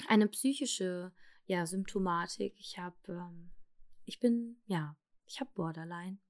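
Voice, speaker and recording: A young woman from Germany telling her own story in a candid, confessional way, halting often with fillers and restarts. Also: mid-range voice, creaky. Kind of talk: personal testimony.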